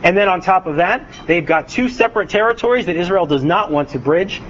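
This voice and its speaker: A man's voice: high-pitched, expressive, a little fast, fairly bright tone. A young adult man giving a lecture as an author, speaking with frequent hesitations and restarts. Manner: hesitant, many uhs and restarts. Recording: dull lo-fi recording, faint noise.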